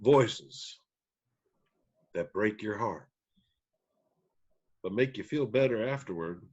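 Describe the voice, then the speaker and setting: A man reading the part of a laconic, rootless country musician in a table read, terse and folksy, with a husky voice. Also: lowish voice, lively intonation, slow, darkish tone, lo-fi sound, exaggerated voice. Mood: wry.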